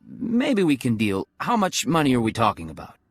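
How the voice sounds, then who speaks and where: Highly animated bluffing mercenary. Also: male voice, normal speed, breathy edge, no room sound, studio quality, faint music behind. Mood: feigned ease. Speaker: game voice actor. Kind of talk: video game character dialogue.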